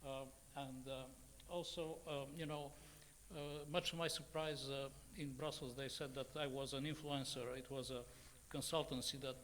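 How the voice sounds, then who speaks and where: A man in his sixties with a Bulgarian accent, speaking off the cuff as a journalist on a conference panel, haltingly and with many fillers and repeats. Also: mid-range voice, little pitch movement, fairly bright tone, a little hiss or hum. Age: 60s. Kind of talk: unscripted panel remarks.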